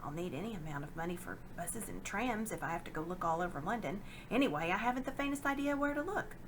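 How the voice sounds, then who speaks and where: A woman's voice: fairly low pitch, animated, fast, noisy background. A woman voicing an anxious, earnest young boy thinking things through in a storybook reading. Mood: anxious musing. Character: young boy, worried and earnest schoolchild hero.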